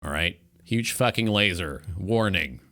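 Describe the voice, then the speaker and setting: A man's voice: deep voice, expressive, measured pace, pushed and bright. A man hosting a casual talk show, giving rambling, crudely joking reaction commentary. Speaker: talk show host and streamer.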